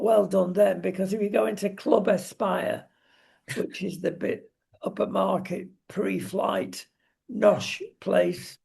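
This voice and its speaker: Gravelly, husky opinionated talk show presenter. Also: medium pitch, darkish tone. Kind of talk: conversational opinion talk on current affairs.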